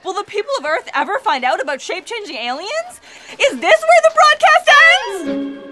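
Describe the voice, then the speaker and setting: A woman's voice: very high voice, big pitch swings, normal speed, fairly bright tone, soft background music, heavily acted. A woman playing an earnest, fretful, literal-minded fact checker in a comic sci-fi audio drama. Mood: panicked.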